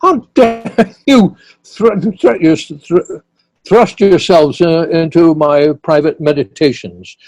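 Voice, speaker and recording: A man's voice: on the high side, slow, darkish tone, no room sound. A man playing an irritated, imperious king in an amateur Shakespeare reading, stumbling a little over his lines. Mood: indignant. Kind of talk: amateur read-aloud of a Shakespeare play.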